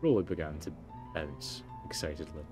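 A man doing low-key let's play commentary, reading story text aloud and muttering asides. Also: normal speed. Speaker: gamer, let's player. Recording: music behind the voice. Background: game music.

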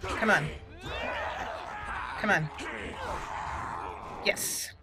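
A woman hosting a casual let's play, chatty and expressive as she talks to the game characters. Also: medium pitch, extremely slow, raised voice. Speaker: gaming channel host. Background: game music.

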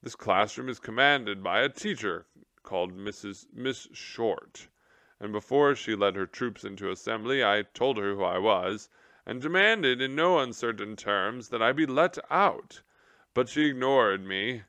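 A man's voice: fairly low pitch, expressive, unhurried, bright, forceful tone, no echo, full character voice. A man voicing a pompous, self-pitying old male mouse general who talks in a grand, theatrical wail. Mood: aggrieved. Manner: theatrical, wailing, grandly indignant.

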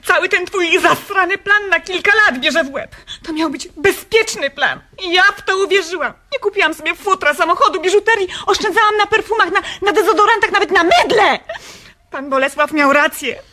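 An actress playing an embittered, highly strung wife in a radio comedy, shrill, indignant and emotional. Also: female voice, fairly quick, pushed and bright. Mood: bitter, exasperated. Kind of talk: radio comedy drama acting.

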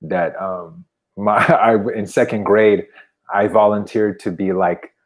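Low-voiced man in casual conversation. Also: steady tone, takes it slowly. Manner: informal, anecdotal, frequent "you know". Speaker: podcast guest. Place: indoor room at home, video call. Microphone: wired earbuds on a video call.

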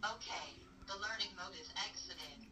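A synthetic woman's voice giving formal, measured device prompts in short stock phrases. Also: mid-range voice, bright, forceful tone, distant mic. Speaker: voice assistant of a robot kit. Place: small device speaker in a meeting room. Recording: echoey small speaker, picked up from afar.

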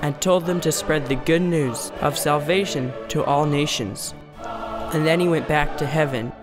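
A man's voice: fairly high pitch, a little slow, music in the background, natural voice. A man playing an earnest storyteller who explains the Easter story in a scripted amateur radio drama. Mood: earnest storytelling. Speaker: narrator and storyteller.

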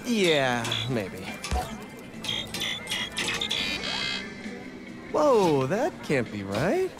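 A man's voice: fairly high pitch, huge pitch swings, slow pace, music in the background, exaggerated voice. An actor playing a good-natured young American man who talks in playful quips in a video game cutscene. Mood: wry. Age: young adult. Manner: playful, quippy.

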